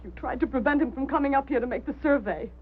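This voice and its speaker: A woman's voice: high voice, fast, lightly acted. An actress playing the anguished, accusing wife of a missing man, pleading and reproachful in an old film drama. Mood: bitter, accusing. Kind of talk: mid-century American film drama acting. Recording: muffled, band-limited old film soundtrack, faint hum.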